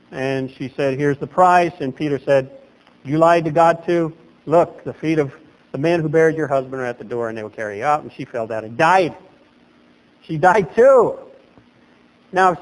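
Middle-aged man, pastor, lively sermon from a lectern, slightly high voice, small chapel, recorded from a distance. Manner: animated preaching, gesturing at a lectern, voice leaping up for emphasis.

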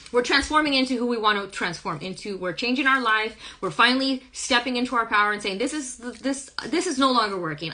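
Hoarse chatty woman, bright voice. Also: medium pitch. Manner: chatty, rambling, hums song lyrics. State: hoarse, croaky voice. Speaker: spiritual reader. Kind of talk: casual live-stream reading. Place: small wood-paneled room, indoors. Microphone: phone camera at arm's length.

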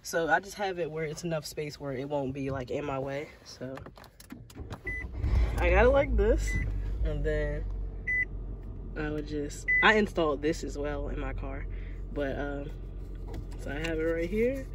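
A woman's voice: deep voice, expressive, measured pace, pauses often, noisy background. A young adult American woman chatting casually to camera, thinking aloud in an informal way on a handheld phone in and around a car. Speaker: vlogger. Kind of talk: casual DIY chat to camera. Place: in and beside a parked car.

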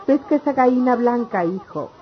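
A woman's voice: on the high side, animated, moderate pace, faint music behind, lightly acted. An actress playing an embittered, weary country mother in an old-fashioned radio serial, with plaintive delivery. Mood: weary, resigned. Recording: dull lo-fi radio serial with noise.

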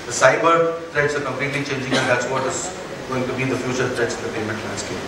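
A man's voice: mid-range voice, fast, noisy background. Indian man giving a keynote in an echoey hall. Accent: Indian English. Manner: earnest, rhetorical questions, frequent "right?" tags. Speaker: keynote speaker. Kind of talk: conference keynote talk. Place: large conference hall stage. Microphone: headset mic. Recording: echoey hall, heard from far off.

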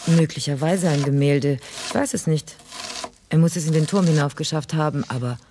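An actress playing a frank, dryly compassionate village spinster in a radio drama. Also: female voice, deep voice, normal speed, dark tone, slight background noise. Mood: matter-of-fact.

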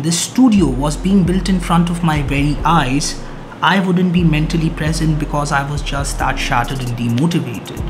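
A young man on a video channel, talking to camera from his small home studio in an earnest, dramatic, flowery way. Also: fairly high pitch. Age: young adult. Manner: dramatic, earnest, flowery wording, lively hand gestures. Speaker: YouTube creator. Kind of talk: earnest scripted monologue to camera. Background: background music.

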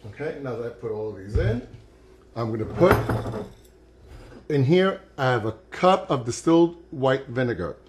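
A man's voice: medium pitch, lively intonation, moderate pace, noisy background. An older man hosting a casual cooking demonstration in his home kitchen, chatting and encouraging viewers as he works. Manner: chatty, encouraging, talks while working. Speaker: cooking show host.

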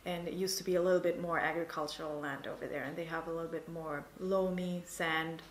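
A woman in her thirties being interviewed as a winemaker, explaining informally and pausing to think as she goes. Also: low voice, steady tone, a little hiss or hum. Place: indoor tasting room with wooden table. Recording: roomy, echoing indoor sound.

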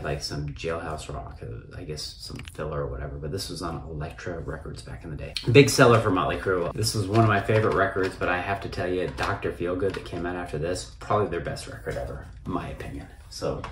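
A distant, echoey and noisy home-office recording of an American man in his fifties. He talks casually to the camera like a vlogger. His delivery is conversational and nostalgic, wandering from thought to thought. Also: low-pitched. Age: 50s. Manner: rambling, conversational. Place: small home office, indoors.

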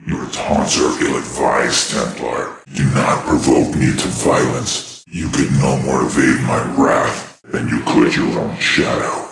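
An actor voicing a solemn alien warrior in a video game, grave and formal in manner. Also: male voice, a bass voice, gravelly, full character voice. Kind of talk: video game unit quotes. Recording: loud music behind, echoing. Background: loud music.

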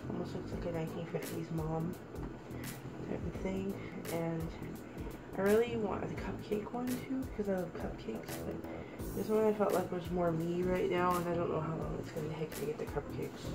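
A woman's voice: on the low side, fairly even intonation, moderate pace, over loud music, low-quality recording. A woman in her thirties, losing her voice from a cold, chatting casually to the camera while she cooks in a small home kitchen. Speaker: vlogger. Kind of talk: casual home cooking vlog. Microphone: camera on the counter.

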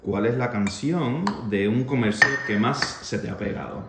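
A man's voice: on the low side, moderate pace, creaky. A man acting as interviewer, reading out short, casual personal questions. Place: home kitchen. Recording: echoey home kitchen, faint noise.

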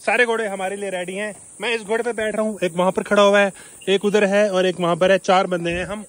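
A young man filming himself at arm's length on a mountain trail, joking excitably and often out of breath. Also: high voice, fast. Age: young adult. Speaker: travel vlogger. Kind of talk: casual travel vlog. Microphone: handheld phone camera at arm's length.

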